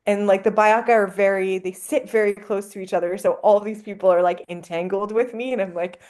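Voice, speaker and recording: A woman's voice: medium pitch, steady tone, a little fast, darkish tone. A woman whose first language is French, a guest on a podcast, talking casually with many fillers. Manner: chatty, lots of likes and ums. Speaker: anthropologist guest.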